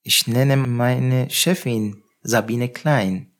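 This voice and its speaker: A man talks as a podcast guest, explaining his work slowly and carefully. He stops often to search for words and fills the gaps with soft hesitations. His voice sits at an ordinary middle pitch for a man. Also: steady tone. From Iran. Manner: hesitant, many pauses and fillers. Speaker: guest researcher. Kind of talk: casual interview answers.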